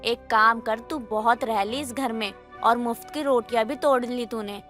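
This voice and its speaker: A woman's voice: on the high side, steady tone, moderate pace, fairly bright tone, natural voice. A woman voicing an exasperated, scolding middle-aged mother-in-law in a moral story cartoon, complaining and nagging. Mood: angry. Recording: music under the voice.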